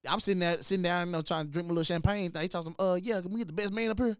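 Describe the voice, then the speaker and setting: A man's voice: high-pitched, fairly even intonation, racing, clear, resonant tone, no room sound, clean studio sound. A man with a Southern Texas accent chatting casually as a podcast host, slangy and often repeating his phrases. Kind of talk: casual chat.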